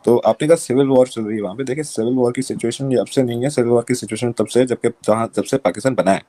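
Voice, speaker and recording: A man from Pakistan making his point in an online debate, measured and insisting on orderly turns. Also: medium pitch, mellow, no room sound. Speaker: debate panelist.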